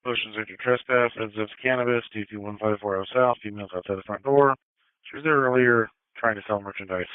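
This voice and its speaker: A man's voice: lowish voice, quick pace, smooth, no room sound. A man giving terse police radio calls as a dispatcher over a two-way radio. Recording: dull, narrow police scanner radio.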